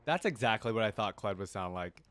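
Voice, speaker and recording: A man's voice: lowish voice, expressive, fairly quick, a bit bright, slight background noise. A man giving informal, casual fan commentary as a reaction video host. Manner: informal, peppered with "like" and "you know".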